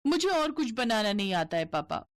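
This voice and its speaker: A woman's voice: on the high side, sing-song, moderate pace, no room sound, clean studio sound. A woman voicing a sweet, simple young bride, polite, frank and innocent, in a cartoon story. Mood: breezy, stubborn.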